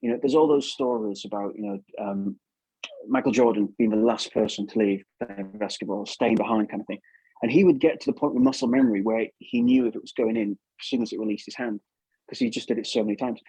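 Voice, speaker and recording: A man's voice: medium pitch, animated, fast talker, gravelly, dark tone, husky, no echo, poor audio. A man giving casual advice as an interview guest, chatty and repeating himself for emphasis. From England.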